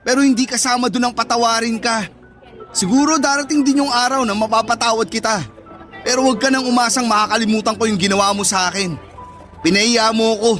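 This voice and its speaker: Angry, high-pitched young Filipino man. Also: steady tone, fast talker, a bit bright, faint music behind. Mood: cold, bitter. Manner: confrontational, scornful. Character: angry, betrayed young straight man rejecting his friend. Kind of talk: Tagalog radio drama acting.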